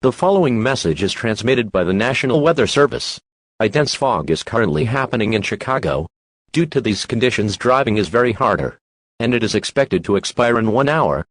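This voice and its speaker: A male announcer reads a scripted emergency broadcast in a dry, close sound. His delivery is formal and synthetic, with fairly varied pitch around a medium level. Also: lively intonation, a little fast, no echo.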